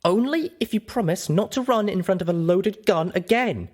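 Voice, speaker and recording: Plucky little mare for fantasy audiobook, played by a man: raised pitch, earnest and caring. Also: expressive, normal speed, darkish tone. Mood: exasperated.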